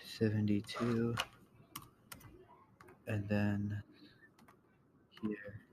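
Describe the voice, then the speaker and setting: A man with a low voice walks through a casual screen-recorded tutorial. He speaks slowly with little melody, halting and trailing off as he thinks aloud. There is background noise with keyboard clicks behind him. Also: completely flat delivery. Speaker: tutorial host.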